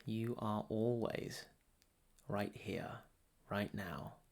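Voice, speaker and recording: A man leading a guided meditation as a teacher, speaking soothingly and deliberately in short phrases with long pauses between them. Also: lowish voice, a little mellow.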